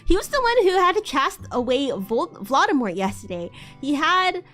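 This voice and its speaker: A woman streamer doing a live dramatic reading of fan fiction in an expressive, theatrical way. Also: sits high, moderate pace, soft background music. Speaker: livestream host.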